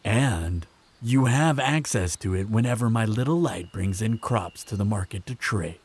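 A man playing a proud, protective vampire in a fantasy roleplay audio drama, speaking in measured, sardonic threats. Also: animated. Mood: firm. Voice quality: low, gravelly, husky. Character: proud protective male vampire, menacing, sardonic, fond of lover.

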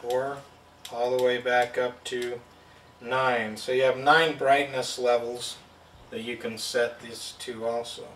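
A man's voice: medium pitch, level tone, takes it slowly, a bit bright, recorded from a distance, a little hiss or hum. A man giving a plain, step-by-step product demonstration at a table indoors. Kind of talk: hands-on product demo. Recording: roomy sound from off-mic.

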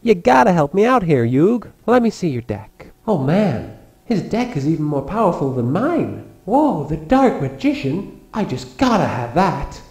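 A dim but good-hearted teenage cartoon boy, played by a man, full of slangy bluster. The voice swings up and down in a lively way, close and dry on the recording. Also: on the high side, unhurried, breathy edge, dry recording, heavily acted. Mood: eager. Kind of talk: parody cartoon dub.

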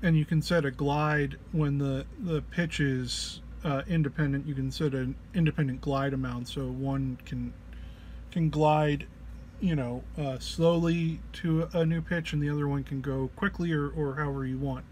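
A man who is under the weather gives an informal tutorial walk-through, explaining haltingly and hesitantly. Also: fairly high pitch, fairly even intonation, a little mellow, slight background noise. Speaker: presenter of a gear walk-through.

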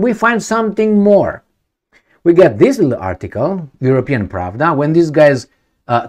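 A man's voice: high-pitched, a little mellow. A middle-aged man, from Romania, talking to camera in a home study, emphatic and repetitive, asking rhetorical questions. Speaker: video commentator. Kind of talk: casual to-camera commentary. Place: home study with bookshelves, indoors.

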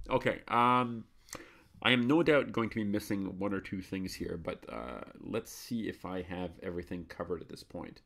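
A lower-pitched man talks through a live screen tutorial at his desk. He is chatty and keeps correcting himself, murmuring commands half to himself as he types. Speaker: host of a live Linux tutorial show.

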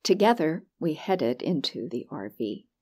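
A woman reading a first-person short story as an audiobook narrator, with expressive, dramatic delivery. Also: sits low, unhurried, husky.

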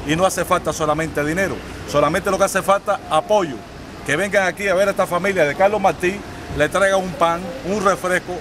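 Insistent man pleading in a plaza. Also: high-pitched. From Cuba. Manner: insistent, repeats himself, gestures while talking. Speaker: interviewer and activist. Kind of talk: street interview and appeal. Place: city plaza outdoors. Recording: outdoor street crowd noise.

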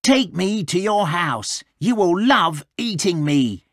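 A woman voicing an old male farm dog in a storybook, gruff, forceful and clever. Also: fairly low pitch, sing-song, slow pace, no echo, clean studio sound, heavily acted. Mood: resolute.

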